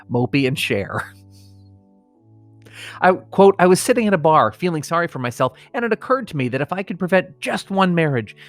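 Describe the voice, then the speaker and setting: A man's voice: fairly high pitch, fairly bright tone, dry recording. An American man hosting a comedy podcast, reading mock obituaries with strained solemnity and chatting casually. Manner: mock-solemn reading that keeps breaking into suppressed laughter.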